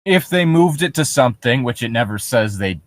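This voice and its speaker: A man's voice: medium pitch, expressive, a little fast, no room sound. Sarcastic man, dry close-miked commentary. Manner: sarcastic, incredulous, swears casually. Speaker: co-host reading and riffing on internet horror stories. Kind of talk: casual comedic commentary with read-aloud passages.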